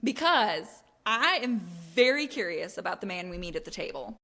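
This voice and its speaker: A woman's voice: medium pitch, very animated, moderate pace, bright, forceful tone. A woman co-hosts a casual book discussion with a mid-range voice. She explains things informally, as if recapping for friends. The recording carries a long, hall-like reverb. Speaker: book podcast co-host.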